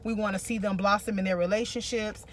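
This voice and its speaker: A woman's voice: medium pitch, steady tone, fast talker, a little hiss or hum. An American woman co-hosting a relationship vlog, giving casual advice and repeating phrases for emphasis.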